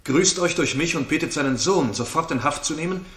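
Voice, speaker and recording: An actor playing a formal, urgent royal messenger in 1960s German radio theatre style, in a roomy studio recording. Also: male voice, on the high side, expressive, brisk, a bit bright.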